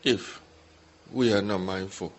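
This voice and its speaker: A man's voice: deep voice, steady tone, takes it slowly. A man who speaks English as a second language, giving guided meditation instruction as a Buddhist teacher in short simple phrases with gaps between them. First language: not English. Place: meditation retreat talk.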